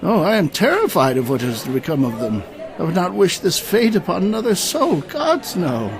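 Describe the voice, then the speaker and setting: An actor playing a weary, aging knight in a fantasy audio drama, speaking in a formal, grandiose, archaic storyteller's manner. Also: male voice, high voice, sing-song, moderate pace, gravelly, crowd noise, heavily acted. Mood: weary, resigned.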